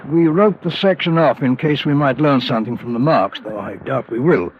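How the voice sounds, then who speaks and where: An actor playing a courteous, guarded British police inspector in an old-time radio drama, speaking formally and precisely. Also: male voice, mid-range voice, normal speed, lightly acted. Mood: measured, guarded. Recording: dull, noisy vintage radio recording.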